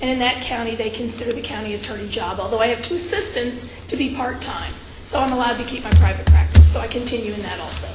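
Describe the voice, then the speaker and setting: A woman's voice: a little high, over music. A woman in her fifties, an attorney with a cold, giving firm character testimony at a hearing. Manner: firm, measured answers. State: hoarse from a cold. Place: hearing room. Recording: echoey room with background noise.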